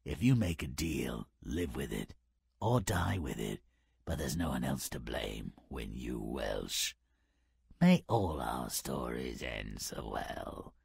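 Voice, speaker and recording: A man playing a gleefully cynical, grubby little imp who narrates video game fairy tales in a raspy, mocking, hammy way. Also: a bass voice, very animated, slow, a little mellow, no echo, studio quality, exaggerated voice. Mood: ominous, dry. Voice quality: gravelly, raspy. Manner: sardonic, mocking, hammy delivery.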